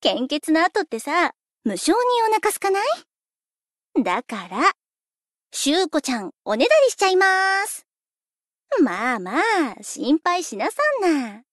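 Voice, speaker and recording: A woman's voice: high-pitched, very animated, slow, pauses often, no echo, studio quality, full character voice. A woman playing a playful, laid-back young pop idol in scripted game lines, coaxing and lightly teasing in a breezy way.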